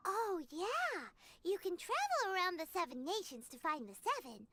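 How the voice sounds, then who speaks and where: A woman voicing a tiny, chirpy, curious fairy guide with a squeaky childlike voice in an anime-style video game. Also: sing-song, normal speed, sharp tone, exaggerated voice. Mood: inquisitive. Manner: refers to self in third person, exclamatory.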